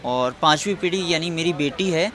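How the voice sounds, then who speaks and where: A man's voice: fairly high pitch, rapid-fire. A man from India, an artisan, answering spontaneously in an interview. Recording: noisy urban location sound.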